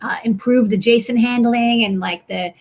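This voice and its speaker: A woman's voice: fairly high pitch, steady tone, unhurried, darkish tone. A woman hosting a casual live show, chatting informally with guests and encouraging them. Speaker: show host. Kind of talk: casual live chat, introducing and reacting to guests.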